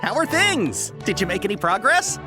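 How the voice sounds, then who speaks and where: Brief: obliging caravan trader, slightly high voice, animated delivery, deferential game character, faint music underneath. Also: male voice, moderate pace. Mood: anxious, hopeful. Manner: deferential, eager to please. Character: eager, obliging male caravan merchant. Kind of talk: video game character dialogue.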